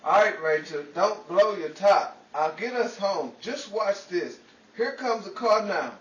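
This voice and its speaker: Man playing excitable, high-pitched blustering radio husband. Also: unhurried, dull audio. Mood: blustering, cajoling. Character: vain, cheap, scheming celebrity-obsessed husband. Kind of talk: 1940s old-time radio comedy acting. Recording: echoey, distant, noisy with crowd ambience.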